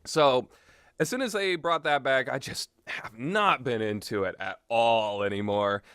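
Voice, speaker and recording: A man's voice: mid-range voice, a little slow, a bit bright, clear, resonant tone, no echo, studio quality. A man giving casual, rambling commentary with strong opinions and an emphatic way of talking. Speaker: video host.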